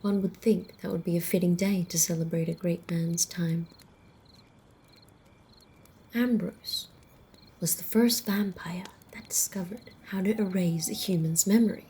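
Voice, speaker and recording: Woman acting a hushed, slow-spoken female vampire storyteller. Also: lowish voice, fairly even intonation, exaggerated voice. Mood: calm, wistful. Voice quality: soft, breathy, often whispering. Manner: measured, deliberate, hushed storyteller. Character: ancient female vampire calmly telling old lore by campfire. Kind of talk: slow ASMR roleplay storytelling, half-whispered. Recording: faint outdoor night noise, crickets.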